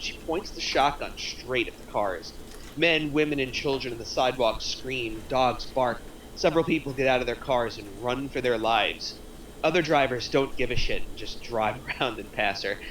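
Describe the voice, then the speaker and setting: A man narrates stage action from a script at a table read. His voice is medium-pitched, a little away from the mic, with faint background noise. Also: a bit bright, far from the mic, rough recording. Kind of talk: reading screenplay action lines aloud.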